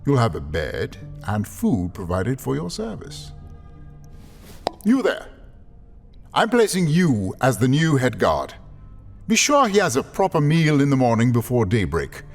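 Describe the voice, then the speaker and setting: A man playing a cold, commanding lord in an audio drama, speaking formally and with measured authority. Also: mid-range voice, wildly swooping pitch, gravelly, exaggerated voice. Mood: lordly command. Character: cold, commanding English-style lord who buys servants. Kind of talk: scripted audio drama acting. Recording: music behind the voice.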